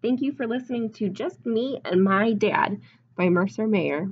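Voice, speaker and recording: A woman in her twenties reading a picture book aloud like a teacher, expressively, pausing to put simple questions and explanations to young children. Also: medium pitch, slightly rough, lo-fi sound. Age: 20s. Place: small classroom, indoors.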